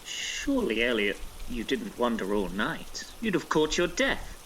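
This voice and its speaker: An actor playing a gentleman's incredulous, teasing friend in a conversational audio drama. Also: medium pitch, huge pitch swings, a little slow, a little hiss or hum, exaggerated voice, low-quality recording. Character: male friend listening to the tale, teasing, incredulous.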